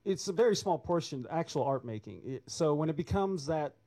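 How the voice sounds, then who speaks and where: A man on an artists' panel, speaking haltingly with many restarts. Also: fairly high pitch, lively intonation, mellow. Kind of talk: panel discussion answer.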